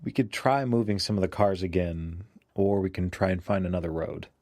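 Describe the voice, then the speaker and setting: A deep male voice, recorded very dry and close. An actor plays an injured survivor in an audio drama, reluctant and protective of his travelling companion. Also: fairly quick, darkish tone, no echo, natural voice. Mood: reluctant, worried.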